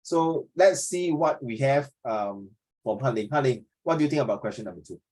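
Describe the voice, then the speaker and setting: A man's voice: mid-range voice, expressive, normal speed, a little mellow. A man in his fifties with a Singaporean accent, teaching an informal class discussion, often repeating himself and checking with questions. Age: 50s.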